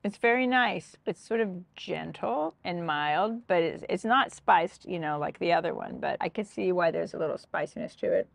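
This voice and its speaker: An older woman talks through a beer flight in a relaxed, descriptive way. Her voice sits low with a gravelly fry, and the sound is dry. It was recorded on a camera at a table on an outdoor patio. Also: normal speed, few pauses, no echo. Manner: reads tasting notes, chatty and descriptive. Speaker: co-host. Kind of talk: casual beer tasting chat.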